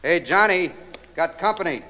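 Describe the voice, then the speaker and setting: A man's voice: high voice, animated, moderate pace, pushed and bright. An actor playing a helpful young male store clerk in a 1940s radio drama, informal and chatty. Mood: eager, helpful. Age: young adult. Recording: noisy old radio recording.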